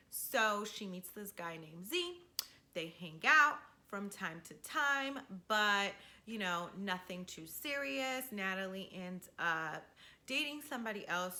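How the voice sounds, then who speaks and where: A woman hosting a storytime vlog, telling a gossipy story in a casual, animated, slangy way with swearing and frequent check-ins to the listener. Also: mid-range voice. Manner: checks in with "right?" and "you know". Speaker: storytime video host.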